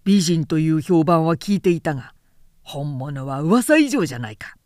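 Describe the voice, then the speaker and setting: A woman voicing a big, rough, hard-drinking samurai man, blunt and familiar, in a story reading. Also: sits low, animated, normal speed, a little mellow, no room sound, clean studio sound, pitched below the performer's own voice. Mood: brash, teasing.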